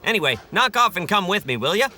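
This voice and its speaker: Jaunty, animated radio-serial gentleman reporter. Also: high-pitched, fast, exaggerated voice. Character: breezy, flattering gentleman reporter, a hero's secret alter ego. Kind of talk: 1930s radio comedy acting.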